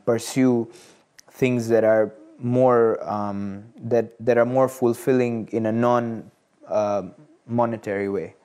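A man in his early twenties from Tanzania, speaking as an interview guest in a measured, hesitant and earnest way. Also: mid-range voice, mellow. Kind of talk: studio interview, personal reflection. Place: studio. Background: plucked guitar music.

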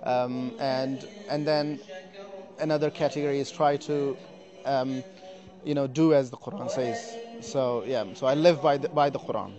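A man who is a competition entrant, answering interview questions earnestly and hesitantly, with many pauses and repeated words. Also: medium pitch, fairly even intonation, dull audio, music in the background. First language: not Arabic. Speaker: competition participant. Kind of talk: vox-pop interview answers. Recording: chattering crowd behind.

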